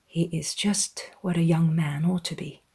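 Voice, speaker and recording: A woman voicing a gentle, modest young gentlewoman, soft-spoken and earnest, in a dramatized audiobook. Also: sits low, steady tone, unhurried, husky, exaggerated voice. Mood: softly admiring.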